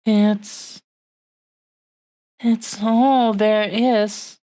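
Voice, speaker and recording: A woman playing a tender, possessive dragon woman in love in a romantic audio roleplay, with a heartfelt, halting, earnest delivery. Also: medium pitch, steady tone, dark tone. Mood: solemn, heartfelt. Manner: heartfelt, halting, earnest pauses between short phrases. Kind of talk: romantic audio roleplay, intimate scripted monologue.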